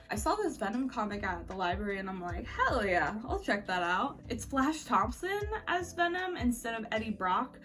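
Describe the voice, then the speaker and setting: A woman's voice: medium pitch, a bit bright. Casual woman vlogger, slightly distant mic. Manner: informal, conversational, slangy, opinionated. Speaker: book reviewer vlogger. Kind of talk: casual chatty book review to camera. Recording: slightly distant mic, faint music behind.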